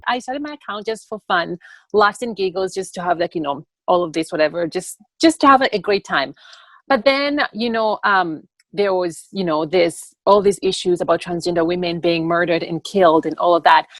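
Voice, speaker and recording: A young woman from Tanzania answering interview questions on a video call in an earnest, halting way that often repeats words. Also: fairly low pitch, no echo. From born in Tanzania. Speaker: interview guest, TikTok educator.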